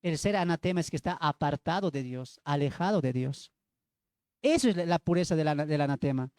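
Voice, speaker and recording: A man's voice: a little high, animated, a little fast, darkish tone, clean tone, no room sound, studio quality. A man with a Bolivian accent preaching and teaching from the Bible, emphatic, repeating phrases and putting questions to his listeners. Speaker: evangelical preacher.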